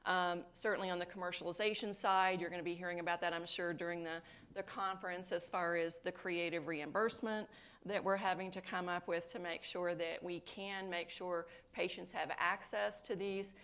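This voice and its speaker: A woman with a fairly low voice answering as an executive on a conference panel. She works through her points aloud, repeating words and filling gaps with "you know". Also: fairly even intonation, normal speed, fairly bright tone. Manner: thoughtful, with many restarts and "you know". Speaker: chief operating officer on a panel. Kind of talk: panel discussion. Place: conference stage.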